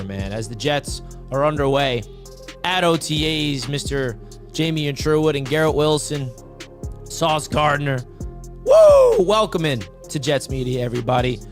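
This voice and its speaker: A young man hosting a live sports talk stream, his voice raspy and worn from yelling, talking in a hyped, casual way and often tossing in "man.". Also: a little high. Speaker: sports podcast host. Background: soft background music.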